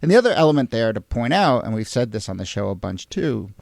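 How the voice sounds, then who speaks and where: A man's voice: mid-range voice, sing-song, quick pace, gravelly, darkish tone, no room sound. A man hosting a conversational history discussion, informally thinking aloud in long sentences. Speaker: podcast host.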